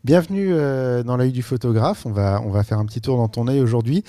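A man hosting a podcast and interviewing a guest in a relaxed way with many fillers. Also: medium pitch, fairly quick, no room sound, clean studio sound. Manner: relaxed, filler-heavy questioning. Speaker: podcast host.

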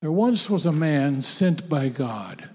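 Emphatic preacher in a reverberant hall. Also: male voice, fairly high pitch, very animated, darkish tone, slightly rough. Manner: emphatic, repetitive, with halting restarts. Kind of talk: sermon to a conference audience. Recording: long hall reverb, voice off-mic.

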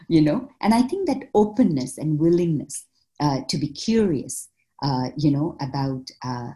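Low, mellow-voiced woman social worker. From Malaysia. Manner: reflective, measured, many fillers. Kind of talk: interview answers.

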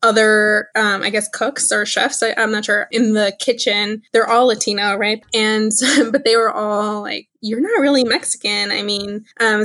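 A woman's voice: medium pitch, fairly bright tone, no echo. A woman whose first language is Spanish, chatting casually as a podcast co-host, with lots of "like" fillers. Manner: chatty, many "like" fillers, quick asides. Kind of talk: casual chat reviewing a TV series.

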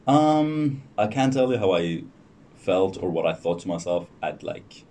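A young man, a podcast guest talking casually and hesitantly with many pauses and restarts. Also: deep voice, very animated, warm tone. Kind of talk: casual interview chat. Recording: distant mic with faint noise.

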